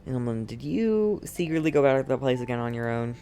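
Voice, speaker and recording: A woman's voice: rumbling low, animated, brisk, mellow. A woman streamer giving casual, dry commentary over a game. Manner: dry asides.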